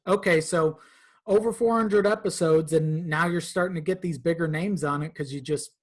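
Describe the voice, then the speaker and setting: A man's voice: a little high, moderate pace. Easygoing-worded male podcast guest, casual talk. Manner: informal, conversational, swears. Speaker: podcast guest, content creator.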